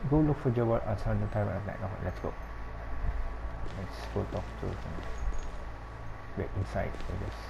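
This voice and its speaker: A man's voice: fairly low pitch, moderate pace, creaky, pauses often, heavy background noise. A man with a Filipino accent giving casual game commentary, hesitant and musing as he follows along. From Philippines. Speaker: gaming commentator. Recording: dull sound, loud game music behind.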